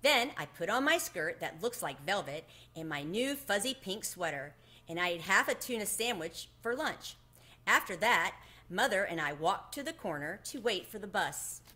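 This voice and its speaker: A middle-aged woman reading a children's story aloud in an animated storytelling manner. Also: mid-range voice, moderate pace. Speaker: book reader. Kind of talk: expressive children's read-aloud. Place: home room with bookshelves, indoors. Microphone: webcam at desk distance.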